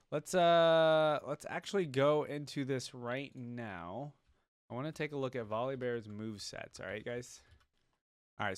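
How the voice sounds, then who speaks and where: A man's voice: mid-range voice, clean tone, dry recording. A young man hosting a reaction video, giving informal commentary and musing aloud as he reads and reacts.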